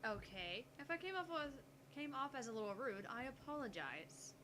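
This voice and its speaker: A woman voicing a sharp-tongued, easily indignant young female genius scientist in a visual novel, curt and sarcastic. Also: a little high, sing-song, normal speed. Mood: composed, miffed. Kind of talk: visual novel character reading. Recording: noticeable background noise on home mic.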